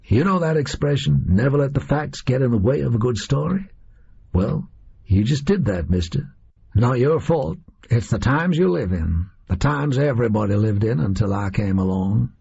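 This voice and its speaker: A male reader voicing a disillusioned, boastful gunman in an audio story, with a high, reedy voice and folksy, slangy delivery. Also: animated, normal speed, vocal fry, mellow, breathy edge, lo-fi sound, exaggerated voice. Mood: rambling, confiding.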